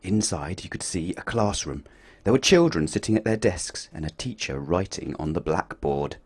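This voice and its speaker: Lower-voiced man reading a children's story. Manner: expressive, playful storytelling with big swings in pitch and loudness. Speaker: children's book reader. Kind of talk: reading a picture book aloud to children.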